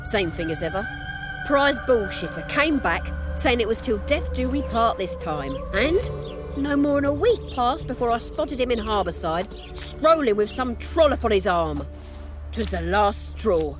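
An actress playing a fiery, sharp-tongued townswoman in a video game, speaking in a blunt, earthy, expressive way. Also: fairly high pitch, unhurried. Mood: scornful, indignant. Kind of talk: video game cutscene acting. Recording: music behind the voice.

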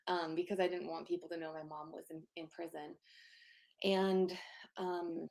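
A soft-spoken woman in her thirties, a guest telling a personal story over a video call from her home office. Her voice carries a gravelly fry, and she speaks openly and earnestly, pausing on fillers as she goes. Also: fairly low pitch, level tone. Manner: open and earnest, many fillers.

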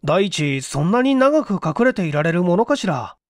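A man performs a courteous, inquisitive gentleman in a vintage mystery drama. His pitch swings widely as he questions things in puzzled, easily surprised tones. The sound is dry and close. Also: big pitch swings, normal speed, dry recording. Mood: doubtful. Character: Okamoto, polite, puzzled, devoted male apartment manager.

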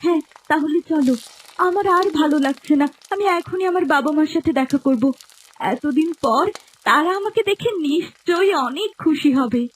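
A woman voicing a gentle, earnest young princess in a cartoon fairy tale, devoted to her parents. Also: high voice, expressive, moderate pace, long pauses, rough recording. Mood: earnest, longing.

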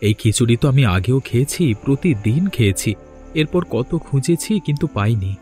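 An earnest young cartoon hero, acted by a man, speaks with righteous, dramatic emphasis. His voice sits at a middling male pitch, with music playing behind it. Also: expressive, brisk, a little mellow, close to an everyday voice. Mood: wistful, curious. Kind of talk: Bengali moral-tale cartoon dubbing.

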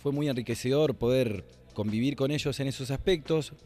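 A man in his forties with an Argentine accent explains a production in an interview, in a halting, reflective way. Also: mid-range voice, darkish tone, faint music behind. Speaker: radio producer. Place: indoor library.

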